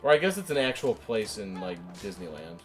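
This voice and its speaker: A man's voice: fairly low pitch, very animated, brisk, soft background music. A man co-hosting a gaming show, chatting casually over gameplay in a teasing, opinionated, rambling way.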